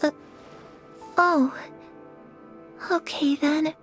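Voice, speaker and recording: A woman voicing a gentle, accepting young girl in a video game, sincere and quietly hopeful. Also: high voice, fairly even intonation, drawn-out words, soft background music. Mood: calm, curious.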